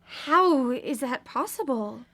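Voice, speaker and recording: An earnest female creature in a radio drama, solemn and grateful. The voice is fairly high and speaks in measured, formal phrases in a very roomy space. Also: expressive, fairly bright tone, heavily acted. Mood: hesitant, solemn. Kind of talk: live radio drama acting.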